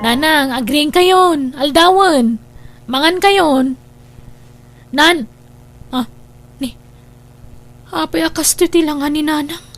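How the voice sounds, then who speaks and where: Babai nga agak-akem iti napinget a balasang nga anak, natinis. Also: female voice, high voice, a bit bright. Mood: alarmed. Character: pushy, excitable married daughter wanting the inheritance. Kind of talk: Ilocano radio drama acting.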